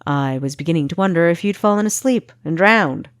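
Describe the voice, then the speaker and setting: A woman's voice: lowish voice, animated, fairly quick, no room sound, clean studio sound, lightly acted. A woman voicing a playful, wry young man who likes to tease, in an audiobook. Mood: teasing. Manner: wry, teasing. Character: teasing, smirking young man, playful escort. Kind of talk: audiobook character dialogue.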